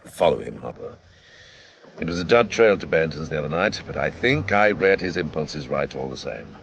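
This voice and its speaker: Reckless top cop in a radio drama, super low gravelly voice, sardonic, clipped orders, some background noise. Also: male voice, very animated, moderate pace, a bit bright, heavily acted. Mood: cocksure, intent. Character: senior male police officer, sardonic, cocksure, reckless. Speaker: actor in a crime radio drama.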